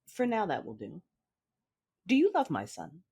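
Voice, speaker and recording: Woman acting a husky, ruthless Sith mother. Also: on the low side, wildly swooping pitch, mellow, full character voice. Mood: calculating, controlled. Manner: measured, menacing, controlled. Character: cold, ruthless Sith mother, commanding and calculating narrator. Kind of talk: first-person audio drama narration with dialogue. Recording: music under the voice.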